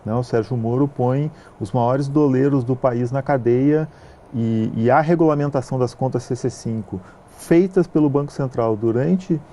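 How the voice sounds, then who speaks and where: A man from southern Brazil with a gaúcho accent, a film producer answering interview questions in a measured way, with frequent hesitation sounds. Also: medium pitch, mellow, a little hiss or hum. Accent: gaúcho Brazilian Portuguese. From southern Brazil (Rio Grande do Sul).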